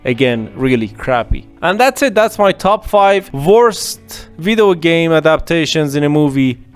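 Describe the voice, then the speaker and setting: A man talking on his own podcast in a casual, opinionated monologue. His voice sits a bit high for a man, and his delivery is animated, with pauses and small restarts. Faint guitar music plays softly underneath. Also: clean tone. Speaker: podcast host.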